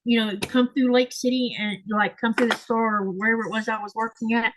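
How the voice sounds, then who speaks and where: This is a woman from Florida with a Southern accent, hosting a relaxed sewing chat at home. Her voice sits a little high, and she wanders through her thoughts with many ums and restarts. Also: fairly quick, noisy background. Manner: rambling, self-correcting, frequent fillers. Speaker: host. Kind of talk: casual chat while sewing, reading comments. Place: home craft room.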